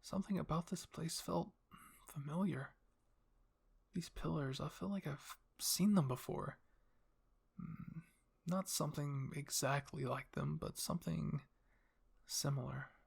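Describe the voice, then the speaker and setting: A man's voice: on the high side, unhurried, creaky, long pauses, slightly rough. A man narrating a first-person ASMR roleplay story as its performer.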